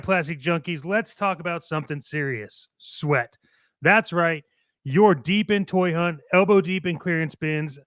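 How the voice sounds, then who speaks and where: A man's voice: a little high, fairly bright tone, no echo, clean studio sound. A man co-hosting a casual toy collecting show, speaking with enthusiastic, cocky, jokey hype. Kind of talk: casual radio show chat and playful ad reads.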